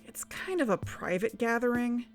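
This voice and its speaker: A woman acting a guarded, thoughtful young investigator in a naturalistic audio drama, speaking in a measured, hesitant, introspective way. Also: on the high side, expressive, faint music behind. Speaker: podcast narrator and lead character. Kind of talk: naturalistic audio drama, reflective narration and dialogue.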